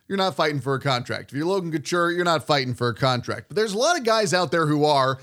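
A male sports host with a somewhat high-pitched voice. He speaks fluently in a broadcast style, moving through conversational commentary and opinion. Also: fairly bright tone.

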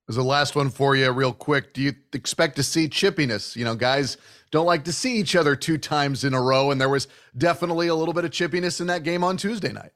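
A man's voice: fairly high pitch, quick pace, fairly bright tone. A man hosting a sports show, giving fluent, conversational commentary in a broadcast style. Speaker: sports podcast host.

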